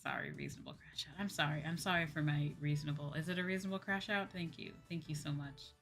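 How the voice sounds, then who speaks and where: A woman's voice: sits low, steady tone, fast talker, fairly bright tone. Exasperated woman livestreamer over background music. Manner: exasperated, dramatic, repeats phrases for emphasis. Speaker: livestreaming VTuber host. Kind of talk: casual livestream chat, ranting.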